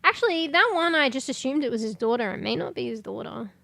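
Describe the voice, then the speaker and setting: A congested woman chatting casually on a livestream, rambling, swearing and breaking into sudden loud exclamations as she reads things aloud. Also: high-pitched, lively intonation, fast, vocal fry, no room sound. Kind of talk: casual stream chat, reading aloud.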